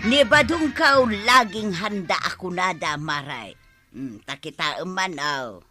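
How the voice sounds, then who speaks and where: A woman's voice: lowish voice, lively intonation, unhurried, music in the background. A woman with an Ilocano accent playing a chatty, fretful villager in a radio comedy drama.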